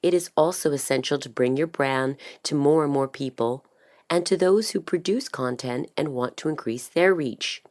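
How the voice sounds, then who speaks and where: A woman with a very deep voice for her sex narrates a scripted explainer. She delivers it like a voice-over artist, in a measured and instructional manner. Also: darkish tone.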